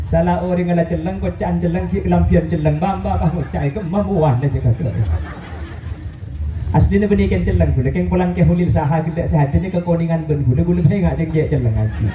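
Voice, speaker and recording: A man's voice: a little high, fairly quick, highs cut off. A man preaching an Islamic sermon to a gathering, addressing listeners directly in a joking manner and mixing in Arabic quotations. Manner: joking, self-deprecating, addresses the audience directly. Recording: roomy, distant, noisy live gathering recording.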